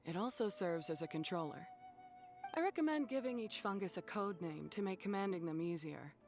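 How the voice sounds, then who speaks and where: A woman voicing a brisk, blunt young female expert in an anime video game, matter-of-fact and to the point. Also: lowish voice, animated, over music. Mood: instructive. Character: brisk, no-nonsense young woman, seasoned creature-catching expert.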